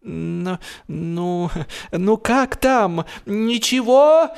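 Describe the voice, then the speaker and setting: A man acts out an excitable, hot-tempered gentleman in a comic radio story. The voice is pushed well above a normal male register, mocking and exclaiming. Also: sits high, drawn-out words, creaky, clear, resonant tone, voice pushed up, exaggerated voice. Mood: teasing curiosity. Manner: shouting exclamations.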